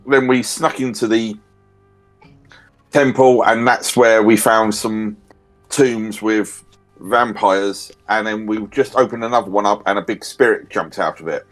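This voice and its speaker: A man's voice: lowish voice, fairly even intonation, unhurried, soft background music, poor audio. A man playing in a tabletop game, casually recapping the last session with hesitations and fillers. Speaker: player.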